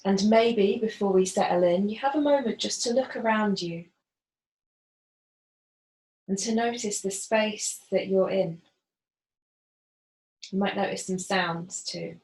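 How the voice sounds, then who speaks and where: A woman gently guiding a body scan as a yoga facilitator, unhurried and leaving pauses. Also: mid-range voice. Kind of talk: guided body scan meditation instruction. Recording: roomy, echoing voice.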